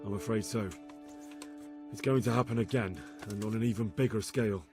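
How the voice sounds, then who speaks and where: An actor playing an earnest young medieval spy in a game, plain-spoken and respectful. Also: male voice, on the low side, a little fast, a little mellow, soft background music, close to an everyday voice. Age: young adult. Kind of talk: video game cutscene acting.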